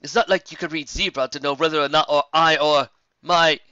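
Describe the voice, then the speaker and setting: A self-important stallion translator , acted by a man, pompous and easily offended. His voice is bright and slightly raised, in a very dry storybook reading. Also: on the high side, fairly even intonation, brisk, clear, resonant tone, no room sound, muffled recording, pitched above the performer's own voice. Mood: indignant. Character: self-important, indignant male pony translator.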